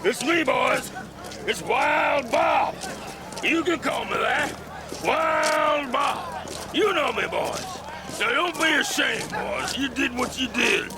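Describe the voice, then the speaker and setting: An actor playing a feverish, dying colonel in a radio drama, rambling and rallying his boys in a strained, gravelly shout. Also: very high-pitched, lively intonation, slow, full character voice. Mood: fervent, rallying. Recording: noisy outdoor scene, crowd behind.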